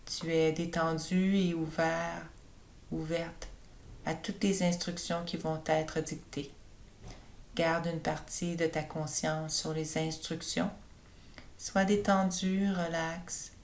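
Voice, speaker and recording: A woman yoga teacher leading a guided relaxation for sleep in a slow, calm, soothing manner. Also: low voice. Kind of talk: guided meditation narration for sleep.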